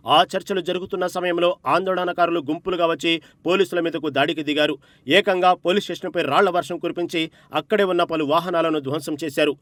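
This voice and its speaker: A man's voice: on the high side, steady tone, quick pace, a bit bright, no room sound. A man reading a scripted news report as narrator, with an emphatic, dramatic delivery.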